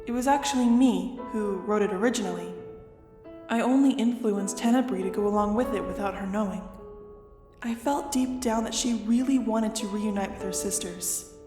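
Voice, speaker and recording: A woman's voice: medium pitch, moderate pace, lightly acted. A woman voicing a serene, motherly goddess in a fantasy audio drama, speaking formally and solemnly. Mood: calm explaining. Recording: echoey reverb with music behind.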